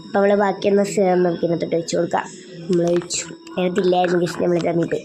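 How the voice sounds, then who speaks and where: A woman's voice: lowish voice, little pitch movement, very fast, music in the background. A woman with a Malayali accent narrating a skincare vlog in a casual, informal way, hesitating as she talks directly to viewers. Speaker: skincare vlogger.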